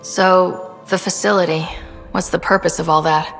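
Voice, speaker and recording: An actress playing an earnest, idealistic young human explorer who leads a team in a video game, direct and sincere. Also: female voice, lowish voice, fairly even intonation, normal speed, vocal fry, soft background music, rough recording. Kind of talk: naturalistic video game acting.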